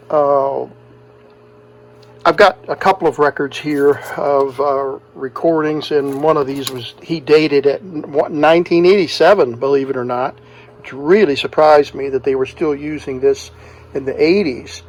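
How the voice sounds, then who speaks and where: Man talking through a hands-on repair, higher-pitched. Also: slight background noise, rough recording. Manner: plainspoken, talks through each step. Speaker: host, electronics repair hobbyist. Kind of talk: hands-on demonstration and explanation, casual.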